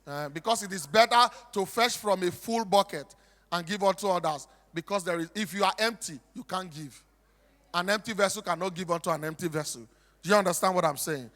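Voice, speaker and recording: High-pitched Nigerian man addressing an echoing hall. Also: bright, forceful tone. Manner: emphatic, repetitive, with rhetorical questions. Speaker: stage speaker and comic storyteller. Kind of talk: animated preaching-style storytelling to an audience. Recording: echoey hall with long reverb.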